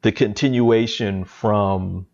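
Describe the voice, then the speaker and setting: A middle-aged man hosting a panel talk, speaking slowly and reflectively with gratitude over a video call. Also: on the low side, steady tone, vocal fry, a little mellow, dull audio. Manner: slow, grateful, reflective. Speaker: talk show host. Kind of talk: casual panel chat.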